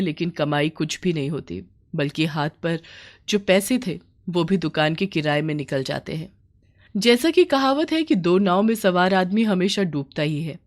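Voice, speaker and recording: A woman storyteller reading a scripted moral bedtime story. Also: fairly low pitch.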